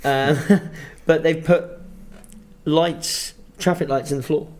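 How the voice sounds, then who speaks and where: This is a man co-hosting a chat show, with an English accent that slides toward Australian. He sits a touch high in pitch, and he rants in an animated, sweary way. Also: takes it slowly. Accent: English, drifting Australian. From England. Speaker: podcast co-host. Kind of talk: casual chat. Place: Sydney.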